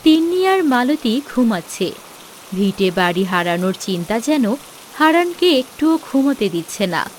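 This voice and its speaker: A woman's voice: fairly high pitch, sing-song, normal speed, a little hiss or hum. A woman narrating a village fable as a scripted, dramatic story.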